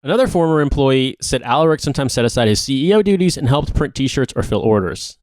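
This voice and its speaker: A man's voice: mid-range voice, expressive, fast, darkish tone, no room sound. A man co-hosting a podcast, reading a long article aloud. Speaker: podcast co-host.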